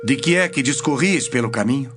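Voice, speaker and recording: Guy acting solemn authoritative teacher in a bible audio drama, brazilian accent, measured, music behind. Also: medium pitch, animated, close to an everyday voice. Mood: calm questioning.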